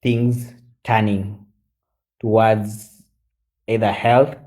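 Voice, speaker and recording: A man in his forties, chatting on a live stream as a guest, halting with many fillers and switching into Swahili. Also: lowish voice, level tone, darkish tone. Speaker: guest mentor. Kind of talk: casual live-stream chat.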